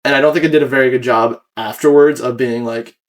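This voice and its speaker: A man's voice: mid-range voice, steady tone, quick pace. A young American man hosting a casual podcast, explaining his own creative work in a rambling, self-critical way. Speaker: podcast host and video creator.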